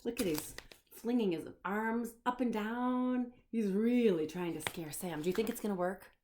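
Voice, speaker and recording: A woman's voice: medium pitch, normal speed, a little mellow, a little hiss or hum. A woman children's storyteller reading a picture book aloud, expressively, explaining and putting questions to the listener.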